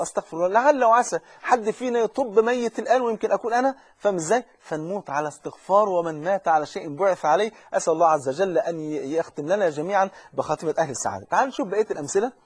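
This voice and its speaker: Egyptian male preacher, fervent and urgent, very fast, husky, dry close sound, repeats himself. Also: fairly high pitch, no room sound. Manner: fervent, urgent, addresses the listener directly; frequent repetitions and restarts. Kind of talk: religious lesson.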